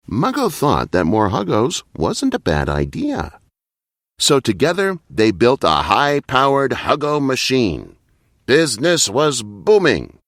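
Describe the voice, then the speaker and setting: Slow, highly animated male storyteller. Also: no echo, clean studio sound. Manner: expressive, deliberate read-aloud delivery. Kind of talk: children's bedtime story narration.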